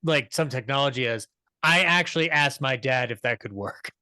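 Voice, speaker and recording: Young male podcast panelist, bright forward voice, dry close sound, chatty and enthusiastic. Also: medium pitch, moderate pace, dry recording. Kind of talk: conversational show commentary.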